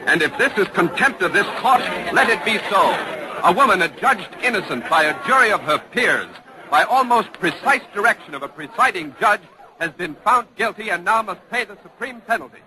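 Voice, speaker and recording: A dramatic on-the-scene newsman from the golden age of radio. His voice is slightly high, earnest and articulate, urgently describing events over a clearly audible crowd. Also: expressive, a little fast, low-quality recording. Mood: grave disbelief. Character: earnest radio news reporter at a tense trial. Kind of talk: live on-the-scene commentary, 1940s radio. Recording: old radio broadcast with crowd murmur.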